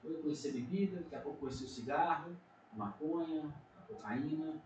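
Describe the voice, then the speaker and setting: A man's voice: fairly high pitch, quick pace, a little mellow, dull audio. A middle-aged man, a pastor from Minas Gerais with a Brazilian accent, giving an earnest testimony in a casual podcast chat. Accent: Brazilian Portuguese, Minas Gerais. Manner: earnest, frequent tag questions. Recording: echoey room, distant mic, faint noise.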